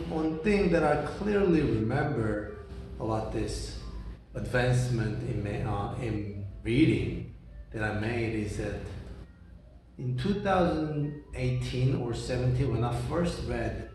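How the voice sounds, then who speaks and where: Young man whose first language is Korean, unhurried rambling monologue, distant mic in a reverberant room. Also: mid-range voice, darkish tone, slight background noise. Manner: rambling, many restarts and fillers like "right?". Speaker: reader talking about books. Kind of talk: casual monologue. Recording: very echoey room, voice from far off.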